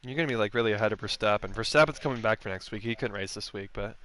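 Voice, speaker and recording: A man's voice: fairly low pitch, very fast, a bit bright, slight background noise. A man hosting a post-race sim racing stream, chatting casually with drivers and joking crudely. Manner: joking, laughing, crude banter.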